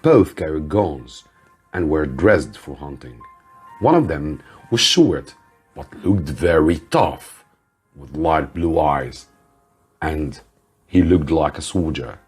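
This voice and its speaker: A low-pitched man reads a story aloud in the manner of an English teacher. His voice is husky and his pace is slow, with careful pauses between phrases. Music plays behind him. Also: lively intonation, a little mellow. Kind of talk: slow scripted story narration for learners.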